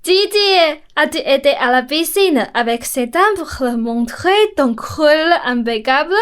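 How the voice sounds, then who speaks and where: A woman's voice: high-pitched, animated, a little slow, a bit bright. A woman playing a pushy, fussy society mother in a student radio play. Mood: nagging.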